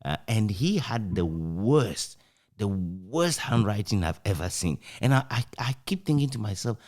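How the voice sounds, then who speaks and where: A man with a Ghanaian accent reminiscing in a conversational interview, speaking reflectively and haltingly, repeating phrases for emphasis. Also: fairly low pitch, big pitch swings, darkish tone. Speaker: interviewer, author reminiscing with former colleague.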